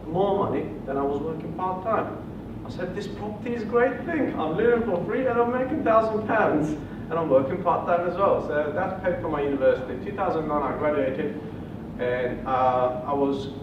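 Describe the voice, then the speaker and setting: A man from Bulgaria in his thirties with a Bulgarian accent, telling his story at a property seminar with earnest pauses and fillers. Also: on the high side. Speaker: property investor presenter. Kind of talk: seminar presentation. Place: hotel function room. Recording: muffled, distant, echoey room with noise.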